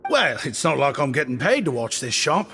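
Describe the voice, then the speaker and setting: Lively, easygoing game shopkeeper. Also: male voice, medium pitch, normal speed, faint music behind. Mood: generous, persuasive. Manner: breezy, courteous, ingratiating. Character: genial, easygoing male shopkeeper, flattering and generous. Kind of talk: video game character voice acting.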